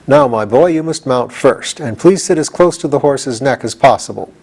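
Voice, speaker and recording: A man's voice: mid-range voice, animated, normal speed, darkish tone, slightly rough. A man voicing a pompous straw scarecrow king in a storybook, grand and declamatory in manner. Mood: self-important. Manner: grand, declamatory, formal phrasing. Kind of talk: storybook character reading.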